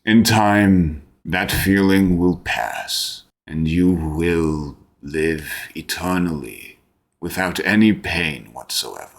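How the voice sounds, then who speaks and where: Man voicing a slow, low, imperious undead lich. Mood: solemn promise. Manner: formal, solemn, measured. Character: ancient undead lich ruler, coldly imperious.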